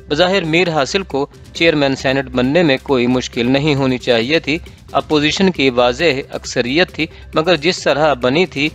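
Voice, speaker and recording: A man's voice: medium pitch, a bit bright. A man with a Pakistani accent reading a political column as scripted narration. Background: background music.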